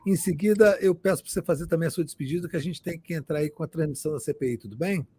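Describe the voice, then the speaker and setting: A man's voice: a little high, very fast, darkish tone, slightly rough. A Brazilian man hosting a political live stream, chatty and effusive in thanking his guests. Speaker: host.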